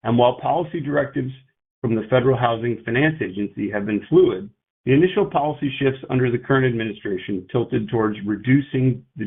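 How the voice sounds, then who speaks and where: A male company executive speaking in a measured, formal, technical way on an investor earnings call. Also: mid-range voice, vocal fry, breathy edge. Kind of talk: prepared investor remarks and analyst Q&A on an earnings call. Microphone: conference call line. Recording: tinny narrow-band phone line.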